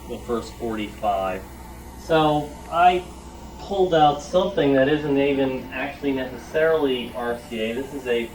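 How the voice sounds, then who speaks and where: A man giving an informal, informative show-and-tell talk in a conversational way. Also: medium pitch. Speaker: head of a library, club speaker. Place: club meeting room. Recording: noisy live room recording.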